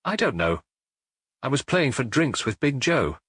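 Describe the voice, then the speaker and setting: A man voicing a surly, rough sailor with a broken tooth in a detective audiobook, blunt and defiant. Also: medium pitch, sing-song, a little slow, a bit bright, no echo, studio quality, voice pushed up, heavily acted. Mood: startled, grudging.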